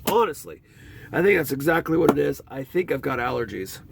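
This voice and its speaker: A man with a stuffed-up nose chatting casually through his movie collection as a vlogger, rambling and talking in a lively way. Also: fairly high pitch, brisk, creaky, background noise. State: congested nose.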